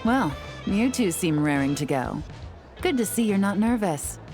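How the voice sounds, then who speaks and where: A woman voicing a calm, self-assured female spy and tea house owner in a video game, speaking in a measured way. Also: mid-range voice, lightly acted. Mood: composed. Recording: game audio with faint music.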